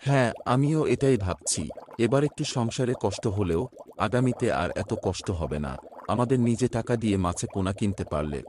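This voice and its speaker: A man's voice: fairly low pitch, expressive, moderate pace, natural voice. A man playing a practical, thrifty village fish farmer in a cartoon, polite and matter-of-fact. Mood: thoughtful. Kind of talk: cartoon voice acting. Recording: clear background noise.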